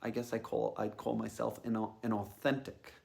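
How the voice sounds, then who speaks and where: A man's voice: fairly low pitch, completely flat delivery, moderate pace, creaky, darkish tone. A man giving a reflective personal monologue as a host, musing tentatively and thinking aloud. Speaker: podcast host.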